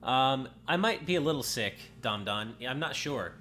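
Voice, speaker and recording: A man's voice: medium pitch, expressive, moderate pace, pushed and bright. Slightly nasal man streaming a game. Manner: chatty, rambling, often breaks off mid-sentence. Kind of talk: casual live-stream gameplay commentary. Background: game music.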